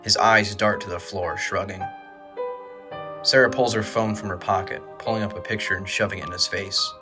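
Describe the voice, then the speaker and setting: A man's voice: on the low side, steady tone, normal speed, music in the background. A man reading scripted dramatic narration for an audio drama.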